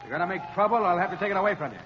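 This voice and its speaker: A man's voice: high-pitched, expressive, rapid-fire, big-room sound. A male actor playing a stern, bossy prison guard with a commanding manner in a 1930s radio drama. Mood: stern, warning. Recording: dull 1930s radio, loud crowd behind.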